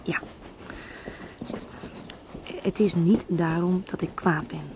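A determined, indignant woman in a Dutch radio play. She speaks very slowly and earnestly, slightly low in pitch, with halting phrases. There is clear noise behind her voice. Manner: measured, halting, earnest delivery. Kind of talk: Dutch radio drama acting.

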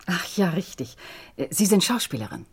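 Low, breathy, reserved woman. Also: sing-song, normal speed, heavily acted. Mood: courteous. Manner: courteous, reserved. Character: polite, reserved divorced woman hosting an uneasy visitor. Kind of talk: German radio crime drama acting.

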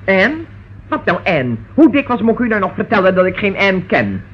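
A woman's voice: medium pitch, sing-song, normal speed, slightly rough. An actress playing a sarcastic, indignant woman in a radio drama, sparring defiantly with a police inspector. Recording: dull, noisy old radio recording.

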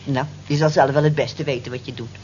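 A woman's voice: extremely low pitch, fairly even intonation, brisk, darkish tone. An actress playing a nosy, teasing married cleaning woman on the night shift in a Dutch radio drama. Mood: nosy, cautioning. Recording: dull lo-fi old radio recording, faint noise.

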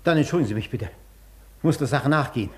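An actor in a German radio play, playing an agitated, imperious gentleman doctor with a formal, demanding, impatient manner. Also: medium pitch, lively intonation, fast talker, natural voice. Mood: stern, urgent.

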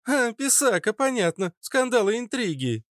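A brash young woman of an audiobook tale , acted out by a man, cheeky and quick to snap. The voice is pushed high and swings with slangy insolence, recorded dry and close. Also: big pitch swings, fairly bright tone, dry recording, heavily acted. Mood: cocky. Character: brash, feisty red-haired young woman, cheeky and rude.

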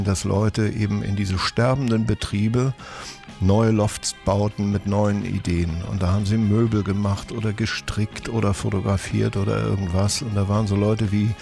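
A man's voice: fairly low pitch, creaky. A man being interviewed, reflecting on past times.

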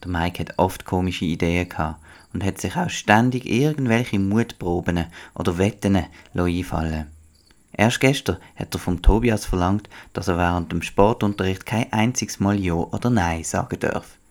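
Deep-voiced Swiss German male narrator. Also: moderate pace. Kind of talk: scripted children's story narration.

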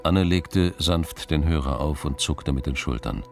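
A man narrating a radio crime drama as a sober, grave storyteller in a measured scripted style. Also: a bass voice, creaky, a little mellow, faint music behind, exaggerated voice.

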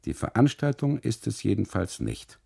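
Guy doing a fussy tower custodian in a radio play, low gravelly voice, formal, won't give a straight answer. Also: wildly swooping pitch, moderate pace, dark tone, full character voice. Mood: formal, withholding. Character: evasive, fussy male custodian of a Sicilian tower. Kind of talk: radio play monologue, quoted dialogue.

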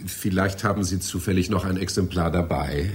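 An actor playing an evasive, over-polite library visitor in a satirical radio play, with a hesitant, flattering manner. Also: male voice, sits low, moderate pace, slight background noise, natural voice. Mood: flustered, evasive. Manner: obsequious, hesitant, drily flattering.

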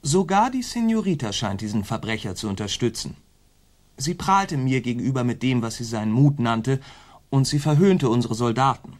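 A man acts a scheming male officer in a radio play. He speaks at a middle pitch, deferential on the surface and sly underneath, insinuating as he reports to his superior. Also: lively intonation, normal speed, natural voice. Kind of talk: German radio drama acting.

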